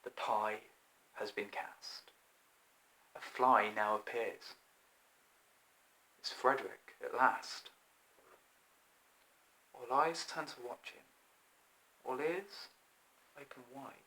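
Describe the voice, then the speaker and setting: A man in his twenties reading a rhyming children's story in an expressive, deliberate, theatrical way. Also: medium pitch, rough recording. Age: 20s. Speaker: author reading own children's book. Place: small plain room indoors.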